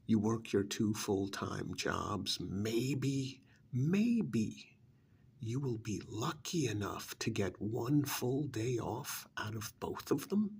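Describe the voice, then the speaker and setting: A man's voice: fairly low pitch, lively intonation, slow, gravelly, slight background noise, heavily acted. A man doing an ASMR role-play as a cynical, persuasive recruiter for vampires, sardonic and full of prodding rhetorical questions. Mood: mocking, sardonic.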